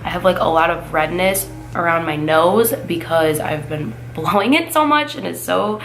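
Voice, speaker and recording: A woman's voice: on the low side, animated, normal speed, a bit bright, slight background noise. A young woman in her twenties giving a casual makeup tutorial, chatty and upbeat with many filler words, sounding nasal as she gets over a cold. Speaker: beauty vlogger.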